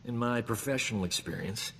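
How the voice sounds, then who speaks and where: An actor playing a boastful, smooth-talking combat medic in a video game, joking and flattering himself. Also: male voice, medium pitch, brisk, in a large hall, a little hiss or hum, close to an everyday voice. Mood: cocky. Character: cocky boastful man, a frontier combat medic. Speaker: companion character in a video game. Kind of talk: video game character dialogue.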